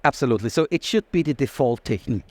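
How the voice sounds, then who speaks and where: A man's voice: mid-range voice, animated, rapid-fire, darkish tone, no echo. A man from Austria with an Austrian accent, a native German speaker, explaining things emphatically as an interview guest. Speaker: interview guest, anesthesiologist and researcher. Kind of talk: conversational expert interview.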